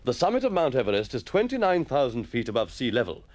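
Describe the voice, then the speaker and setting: A man's voice: mid-range voice, huge pitch swings, fast talker, darkish tone, no echo. A man reading a story, voicing a stern, irritable schoolmaster who lectures pompously. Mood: lecturing. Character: stern, exasperated male schoolmaster.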